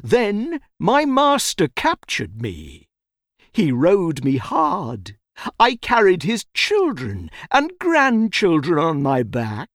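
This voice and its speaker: A woman's voice: fairly low pitch, huge pitch swings, takes it slowly, no echo, clean studio sound, voice pushed up, full character voice. A woman voicing a tired old horse in a storybook, bitter and mournful. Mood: weary, mournful. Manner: weary, mournful complaining.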